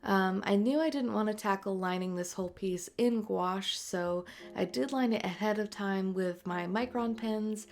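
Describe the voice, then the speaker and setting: A woman in her late twenties, an artist and tattooer, chatting in a voice-over over a speedpaint, raspy and congested from a cold, talking softly with many ums. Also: medium pitch, moderate pace, few pauses. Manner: soft, rambling, many ums and uhs. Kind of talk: voice-over chat during a speedpaint. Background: faint music.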